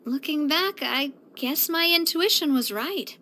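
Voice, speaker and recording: A woman voicing a bright, earnest, sociable young female leader in a video game, chatty and expressive. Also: high voice, unhurried, heavily acted. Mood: admiring. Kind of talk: video game character voice lines.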